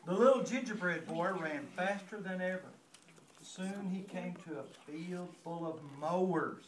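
An older man in his sixties reads a picture book aloud to small children. His delivery is expressive and conversational. He is picked up from across an echoey, noisy classroom. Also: high voice, unhurried. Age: 60s. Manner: expressive, repeats refrains, asks children questions. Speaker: guest reader to a class of young children. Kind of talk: animated storybook reading aloud. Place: indoor classroom with young children. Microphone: distant handheld camera. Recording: distant mic, echoey room, noisy with clicks.

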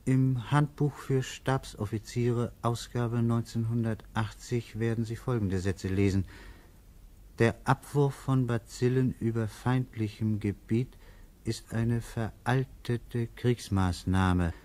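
A man reading interludes as a radio play narrator, measured and grave. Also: mid-range voice, steady tone, darkish tone, close to an everyday voice. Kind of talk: solemn scripted radio narration.

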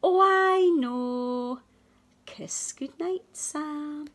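A woman voicing a gentle, patient mother bear at bedtime, with lilting questions and thoughtful pauses. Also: high voice, pitched above the performer's own voice. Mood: realising, tender.

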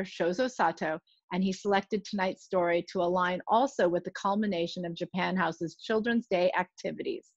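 Middle-aged woman as presenter, mid-pitched, scripted intro delivery, dry but slightly muffled home recording. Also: dry recording. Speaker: host introducing a guest reader. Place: living room at home. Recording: slightly dull, lo-fi sound.

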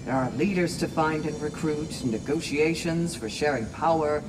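A polite, formal male attendant played by a man in a video game. He speaks in measured phrases with a somewhat high pitch. There is clear background noise. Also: lightly acted. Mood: composed. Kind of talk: dramatic video game acting.

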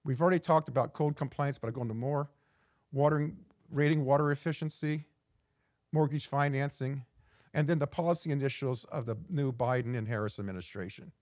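A middle-aged man giving a prepared keynote as an executive director, measured and reading from slides with occasional restarts. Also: medium pitch, darkish tone, no room sound, studio quality. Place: indoor studio in front of a backdrop.

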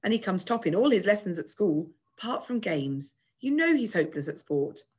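A woman's voice: medium pitch, sing-song, moderate pace, darkish tone, breathy edge, pitched above the performer's own voice. Woman reading a fretful, protective mum. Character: worried protective mother.

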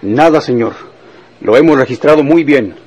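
A man's voice: mid-range voice, normal speed, a little mellow, breathy edge, dry recording, muffled recording, close to an everyday voice. An actor playing an eager, trigger-happy henchman with a Mexican accent in a radio drama. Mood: reporting. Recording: noisy old radio drama, street traffic effects.

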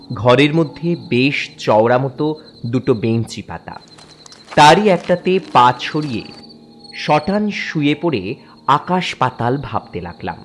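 A man's voice: medium pitch, a little hiss or hum. A man narrating a scripted horror story as a storyteller, in a dramatic style.

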